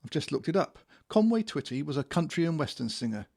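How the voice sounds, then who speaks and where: A British actor playing a grumpy, sarcastic small-town office worker in a comedy audio drama, grumbling and swearing sardonically. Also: male voice, fairly high pitch, very animated, quick pace, vocal fry, a little mellow, exaggerated voice. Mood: dry teasing.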